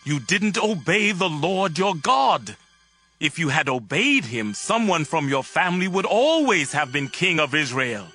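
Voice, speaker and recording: A stern old prophet condemns with grave emphasis in a bright, forceful voice that swings widely in pitch. It is audio Bible drama, with music behind him. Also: male voice, sing-song, a little slow, exaggerated voice. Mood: stern anger.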